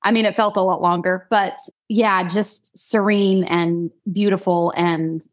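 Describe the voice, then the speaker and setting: A woman's voice: on the low side, steady tone, measured pace. A woman in her early forties from Idaho, chatting casually as an interview guest, laughing often and restarting her sentences. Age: 40s. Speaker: guest, singer-songwriter.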